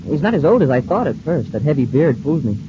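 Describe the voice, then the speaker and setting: An actor playing an eager, earnest young space cadet sidekick, deferential and excitable, in an old-time radio serial. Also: male voice, fairly high pitch, quick pace, noisy background. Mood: curious, concerned. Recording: muffled old radio recording.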